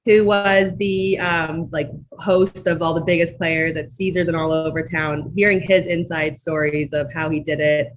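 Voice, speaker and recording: A woman's voice: low-pitched, fairly even intonation, normal speed, hardly any pauses. A woman talking casually as a guest in an interview. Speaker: interview guest, marketing manager. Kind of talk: casual conversational interview talk. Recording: noisy background ambience.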